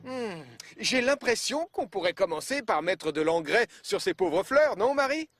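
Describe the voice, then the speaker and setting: A man's voice: sits high, very animated, measured pace, a bit bright, heavily acted. Kind confident cartoon fireman, takes charge, music in the back. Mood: kindly. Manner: reassuring, decisive. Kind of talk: French-dubbed children's cartoon acting.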